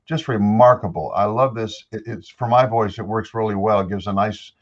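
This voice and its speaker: An older man with a deeper voice, a voice-over actor talking easily as an interview guest into a studio microphone. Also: normal speed, highs cut off. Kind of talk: casual interview chat. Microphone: studio dynamic microphone.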